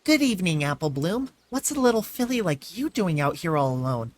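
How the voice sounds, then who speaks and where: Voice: mid-range voice, big pitch swings, lightly acted. A reader voicing a sensible young female unicorn who speaks politely but firmly. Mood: stern. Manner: polite, then stern and hurried.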